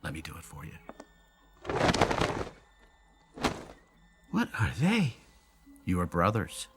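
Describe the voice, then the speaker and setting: A man's voice: sits low, huge pitch swings, moderate pace, over loud music, exaggerated voice. An actor in a radio drama playing a brilliant, lonely male inventor who explains himself in theatrical, brooding monologues. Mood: proud, intense. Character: brilliant, lonely, self-doubting male inventor of an android double.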